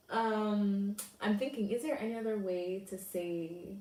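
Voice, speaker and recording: A woman's voice: medium pitch, darkish tone, distant mic. Young Jamaican woman, echoey stream. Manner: chatty, answers viewer comments aloud. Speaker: livestream host. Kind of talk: casual livestream chat.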